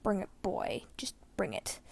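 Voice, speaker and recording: A woman voicing a frail, wise old man on his deathbed, speaking weakly and breathily with halting phrases. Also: a little mellow, dry recording, in a whisper, lightly acted. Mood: urgent. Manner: weak, breathy, halting speech. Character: frail dying old man, wise, grieving former warrior.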